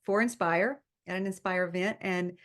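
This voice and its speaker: A woman's voice: lowish voice, moderate pace, fairly bright tone. A woman pastor hosting a faith podcast, chatting in an encouraging, upbeat way. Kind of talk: casual faith podcast chat.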